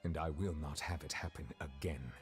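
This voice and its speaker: A deep, grave male judge from a video game, formal and measured as he coolly probes. His voice carries a long reverb, with music underneath. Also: steady tone. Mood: stern, probing.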